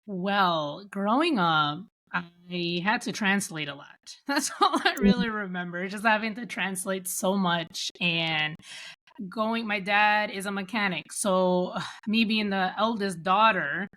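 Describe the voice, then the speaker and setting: Casting: female podcast guest, US-raised, mid-pitched, informal and anecdotal, slipping into quoted dialogue. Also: takes it slowly, fairly bright tone. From United States, Dominican family. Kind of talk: personal storytelling in casual interview.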